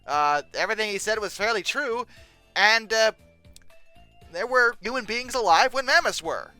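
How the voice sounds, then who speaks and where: Exasperated, sarcastic commentator with very animated pitch. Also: moderate pace, no room sound, soft background music. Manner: sarcastic, exasperated, with mock outrage. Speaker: host of a paleontology commentary show. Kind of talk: comedic reaction commentary, reading aloud and critiquing.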